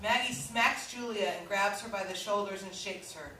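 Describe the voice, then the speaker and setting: A woman's voice: medium pitch, normal speed, bright, forceful tone. Woman reading stage directions, very echoey. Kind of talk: scripted narration at a staged reading. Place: indoor staged reading, recorded from far off. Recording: echoey hall, distant mic, faint noise.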